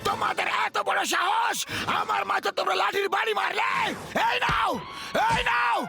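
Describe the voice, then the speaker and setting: A man voicing a hot-tempered, bullying cartoon boxer who shouts indignant threats. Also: very high voice, fairly even intonation, moderate pace, gravelly, heavily acted. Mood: furious. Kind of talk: exaggerated cartoon dub acting. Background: background music.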